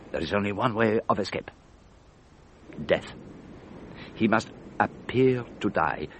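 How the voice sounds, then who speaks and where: An actor playing an elderly detective in a radio drama, speaking in a precise, deliberate, patiently instructive way. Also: male voice, lowish voice, slight background noise, close to an everyday voice. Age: older. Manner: precise, deliberate, didactic questioning. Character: elderly, methodical, patient Belgian male detective.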